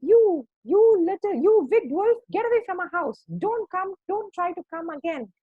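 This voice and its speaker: A woman's voice: very high-pitched, animated, normal speed, no echo, phone-quality sound, pitched above the performer's own voice. A woman voicing childlike little storybook lambs that bleat between phrases. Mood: defiant, scolding.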